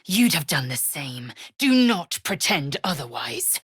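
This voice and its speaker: A woman playing a fierce, proud, disdainful young githyanki warrior in a video game, speaking in a husky, breathy, imperious and clipped way. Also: sits low, very animated, no room sound, clean studio sound, full character voice. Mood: stern. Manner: imperious, clipped, deliberate, formal.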